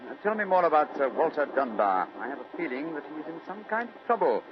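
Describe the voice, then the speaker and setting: An actor playing a keen, precise British gentleman detective in an old radio drama. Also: a little high, big pitch swings, quick pace, noisy background. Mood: brisk, keen. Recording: muffled old radio recording, music behind.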